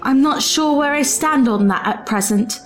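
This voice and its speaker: A sharp, proud woman time traveller played by an actress in an audio drama. Her fairly high voice is formal and challenging, full of distrust, with soft music behind. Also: moderate pace, natural voice. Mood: cool, demanding.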